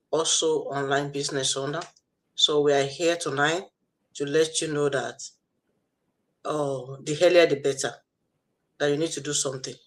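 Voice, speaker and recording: A man's voice: fairly high pitch, fairly bright tone. A man with a Nigerian accent co-hosting a promotional live session and addressing viewers directly. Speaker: co-host, online business owner.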